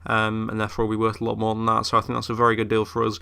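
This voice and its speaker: A man's voice: lowish voice, completely flat delivery, very fast, creaky, sharp tone, clean tone. A young man who is ill, chatting casually through game commentary with lots of fillers. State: ill, voice a bit off. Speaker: gaming YouTuber.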